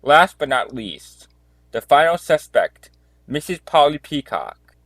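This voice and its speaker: A man playing a methodical police detective in an amateur murder mystery audio drama, deliberate and declarative. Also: a little high, sing-song, fairly bright tone, dry recording, exaggerated voice. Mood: accusing.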